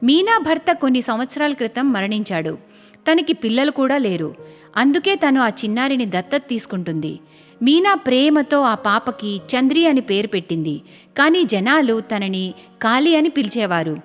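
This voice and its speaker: A woman narrating a scripted cartoon story in an expressive storytelling style. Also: a little high, moderate pace, in a large hall. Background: faint music.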